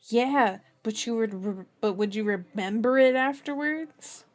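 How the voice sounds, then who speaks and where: A woman's voice: on the high side, unhurried, lightly acted. A woman voicing a grinning, mocking male police officer from a novel as she reads aloud. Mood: teasing. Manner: mocking, jokey.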